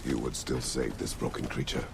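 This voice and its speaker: An actor voicing a stern, battle-worn warrior of few words in a video game, terse and solemn, with a deep gravelly voice. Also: male voice, flat delivery, normal speed, background noise, heavily acted. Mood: grave, brooding.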